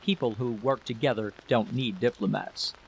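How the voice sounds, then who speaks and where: A man's voice: fairly low pitch, normal speed, gravelly, slight background noise, low-quality recording. A man reading the part of a curt, suspicious American military man in a story. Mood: conceding. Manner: curt, challenging.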